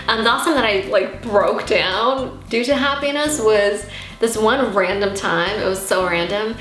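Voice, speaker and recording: A woman's voice: medium pitch, fairly bright tone. Young woman vlogger in an echoey room. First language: Armenian. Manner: earnest, encouraging. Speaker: YouTube host answering viewer questions. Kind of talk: casual question-and-answer vlog. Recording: roomy echo, music behind.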